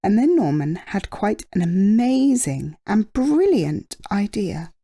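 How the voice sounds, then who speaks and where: A woman storyteller reading a children's picture book expressively, with drawn-out emphasis and dramatic pauses. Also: medium pitch, sing-song, warm tone, breathy edge.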